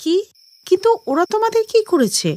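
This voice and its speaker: A young newlywed wife played by a woman in a cartoon family tale. Her voice is high and very animated, with a polite, earnest, questioning manner. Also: a little fast, no room sound. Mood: astonished.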